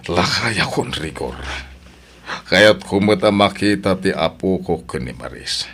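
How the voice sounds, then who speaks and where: An older father and grandfather in an Ilocano audio drama. His voice is deep, and he speaks as a stern elder who aches for family. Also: lively intonation, slow pace, fairly bright tone, exaggerated voice. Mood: stern, pleading. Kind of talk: Ilocano radio-style family drama acting.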